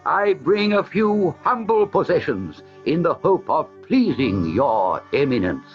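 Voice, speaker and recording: A man's voice: a little high, very animated, unhurried, voice pushed up. A man voicing a bluff, hearty male sea captain in a storybook reading. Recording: slightly dull with faint music.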